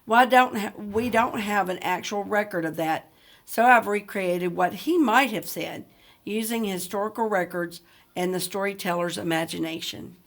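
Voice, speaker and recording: A woman's voice: mid-range voice, normal speed. Woman teacher leading a read-aloud lesson. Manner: addresses listeners directly with questions. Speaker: teacher reading a picture book aloud.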